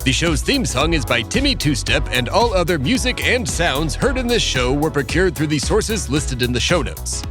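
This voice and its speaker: A man's voice: a little high, big pitch swings, normal speed, hardly any pauses, over music. A man hosting and starring in a comedy audio drama, talking in a formal, pompous way. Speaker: host, lead character.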